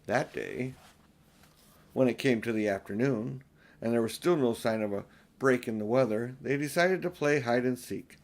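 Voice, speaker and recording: A man's voice: medium pitch, normal speed. An older man narrating a children's storybook expressively as an audiobook reader.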